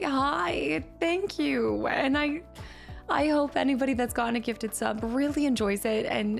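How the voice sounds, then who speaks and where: Chatty upbeat woman streamer, gravelly edge. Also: sits high. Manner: chatty, upbeat, thanks viewers. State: slightly raspy voice. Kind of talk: casual stream chat reading chat. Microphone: streaming mic.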